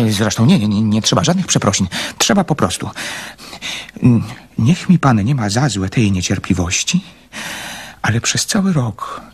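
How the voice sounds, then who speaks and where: An actor playing a shy, devoted young man in love in a radio drama, speaking in a husky, halting, stammering and earnest way. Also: fairly low pitch, heavily acted.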